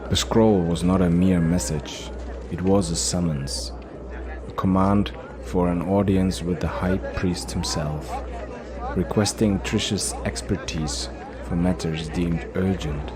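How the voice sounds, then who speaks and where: A man's voice: sits low, fairly even intonation, slow, music in the background, crowd noise. A man reading scripted, dramatic fantasy narration as the storyteller of an audio drama.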